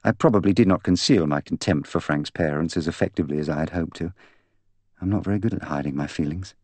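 Man voicing a bitter, intense young woman, low-pitched. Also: fast, a little mellow, no echo. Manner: candid, articulate, intense. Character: proud, bitter young woman confessing to killing her fiancé. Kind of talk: audiobook character dialogue.

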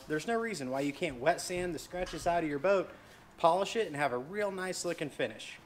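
A relaxed man in his thirties walks through a hands-on boat repair, his tone easygoing and folksy. His voice sits a little high for a man. The camera mic is set some distance off in a big showroom, with a steady hiss of noise underneath. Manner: easygoing, folksy instructional talk. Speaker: boat dealer and channel host. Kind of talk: casual how-to demonstration.

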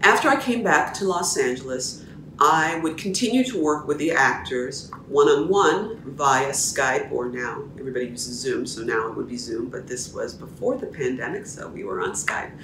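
Echoey woman dialect coach, measured. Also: a bass voice, lively intonation, a bit bright, distant mic, slight background noise. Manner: measured, explanatory, teacherly. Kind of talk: explaining her work to camera. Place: small room indoors at home. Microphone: camera at a distance. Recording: echoey room, voice a little off-mic.

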